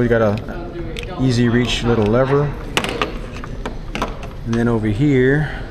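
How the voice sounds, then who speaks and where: A man casually talking to himself while browsing products in a shop, exclaiming now and then, recorded on a head-mounted camera. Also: mid-range voice. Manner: musing to himself, exclaiming at items. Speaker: vlogger touring a shop. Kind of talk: casual handheld product walkthrough. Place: indoor retail store. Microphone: head-mounted action camera.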